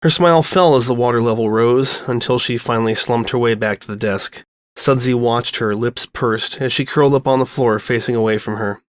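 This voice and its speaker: A man's voice: mid-range voice, a little fast, no room sound. Man narrating a story, close dry sound. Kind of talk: expressive scripted story narration.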